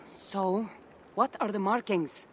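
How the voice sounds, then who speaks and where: A woman's voice: medium pitch, slow pace, a bit bright. A woman playing a teasing, chatty, world-weary wandering warrior from ancient Greece in a video game. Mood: teasing, curious. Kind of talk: dramatic video game dialogue. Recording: noisy outdoor game ambience behind.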